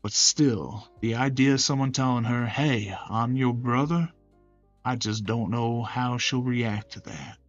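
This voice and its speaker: A man's voice: mid-range voice, unhurried, dry recording, dull audio, soft background music, lightly acted. A man voicing a courteous, protective male caregiver in a visual novel, with a folksy manner. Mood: earnest, protective.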